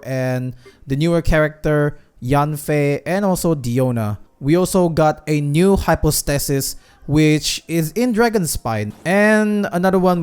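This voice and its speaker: Chatty, higher-voiced male gaming streamer. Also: darkish tone, smooth. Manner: chatty, addresses viewers as guys. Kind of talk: casual gameplay commentary. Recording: game music behind the voice.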